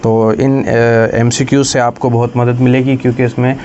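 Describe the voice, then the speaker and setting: A man with a Pakistani accent giving an explanatory exam-prep lecture, slowing down to read questions deliberately and then explaining them. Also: mid-range voice, little pitch movement, warm tone, muffled recording. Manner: switches between Urdu explanation and slow, deliberate English reading. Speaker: lecturer for law exam preparation.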